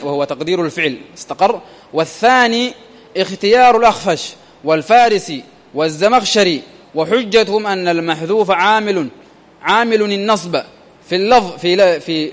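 A man's voice: high voice, in a large hall. A man teaching a grammar lesson, repeating phrases and quizzing his listeners with rhetorical questions.

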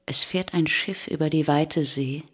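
A woman as the solemn narrator of a radio play, speaking in a measured, incantatory, poetic way. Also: deep voice, breathy edge. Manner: measured, incantatory, repeating phrases. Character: solemn female narrator of a plague ship's voyage. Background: prominent music.

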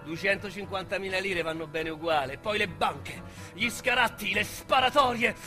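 An actor with a Roman accent playing a young, boastful, foul-mouthed street criminal in a radio drama monologue, with coarse slang and a swaggering delivery. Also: male voice, high voice, normal speed, sharp tone, faint music behind. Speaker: narrator and lead character.

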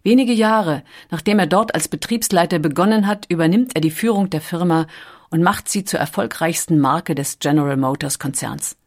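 Low-pitched female documentary narrator. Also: moderate pace.

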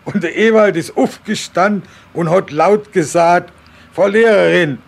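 A man's voice: high-pitched, measured pace, vocal fry. An older man telling his memories as an eyewitness in a spontaneous, folksy way. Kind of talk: spontaneous oral storytelling.

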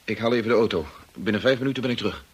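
An actor playing a grave, hesitant family friend in a radio drama, with a husky, sober delivery. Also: male voice, fairly low pitch, very fast, background noise, natural voice.

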